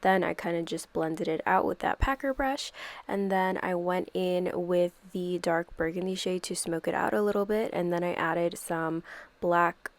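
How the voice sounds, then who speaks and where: A woman's voice: fairly low pitch, moderate pace, no echo. A young woman with a stuffy, congested voice from a cold, chatting through a makeup tutorial voice-over and filling it with "like" and "kind of.". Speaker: makeup YouTuber.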